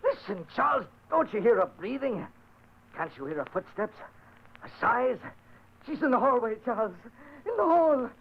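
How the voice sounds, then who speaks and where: A man's voice: very high voice, very animated, moderate pace, gravelly, heavily acted. Frantic, paranoid nobleman. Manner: frantic, paranoid, swinging to menace. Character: mad aristocrat tormented by his dead sister. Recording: muffled hissy old radio recording.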